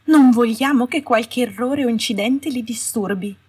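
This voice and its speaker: A woman's voice: on the high side, moderate pace, darkish tone, slightly rough, pitched above the performer's own voice. A woman voicing a sugary, cruel female official in an audiobook, coaxing and condescending, with falsely sweet delivery. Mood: smugly sweet. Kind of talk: audiobook character voice. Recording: roomy echo, distant from the mic.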